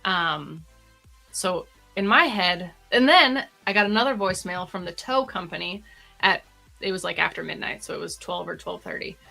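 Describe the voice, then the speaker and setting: A woman co-hosting a vlog, telling a personal story in casual, informal and animated chat. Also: fairly low pitch, moderate pace, gravelly, faint music behind, poor audio. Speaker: vlog co-host.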